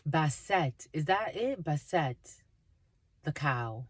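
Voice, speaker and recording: A woman whose first language is Spanish, giving a halting intuitive spiritual reading to viewers, with many fillers and repeated phrases. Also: low voice, animated, slightly rough, no room sound. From Dominican family, Spanish first language. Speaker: spiritual reader.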